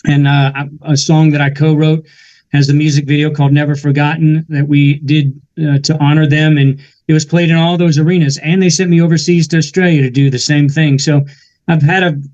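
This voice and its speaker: Earnest man, flat steady delivery. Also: a little high, brisk, dull audio. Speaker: guest musician and army veteran. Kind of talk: casual interview talk.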